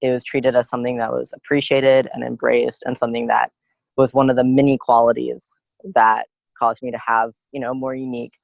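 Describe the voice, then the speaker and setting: A man's voice: mid-range voice, flat delivery, brisk, vocal fry, dry recording, highs cut off. A young adult man, a student guest in a podcast interview, speaking in an earnest, reflective way with frequent "you know" and "like".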